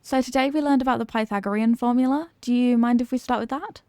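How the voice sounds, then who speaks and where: A woman's voice: on the high side, fast, darkish tone, no echo, natural voice. A woman playing an evasive, flustered popular cheerleader being tutored, in an acted ASMR roleplay with a hesitant, defensive delivery. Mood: studious, casual. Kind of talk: ASMR roleplay, acted one-sided conversation.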